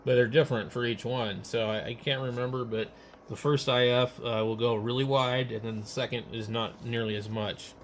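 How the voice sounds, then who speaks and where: Guy doing casual ham radio tech talk, kinda halting, thinking out loud, noisy background. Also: mid-range voice, steady tone. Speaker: amateur radio operator. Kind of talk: casual technical chat.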